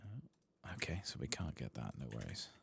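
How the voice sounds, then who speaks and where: A man giving soft-spoken ASMR commentary over video game play, hushed and at times slipping into a whisper close to the mic. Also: rumbling low, sing-song, normal speed, creaky, slight background noise. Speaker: host of a gameplay channel.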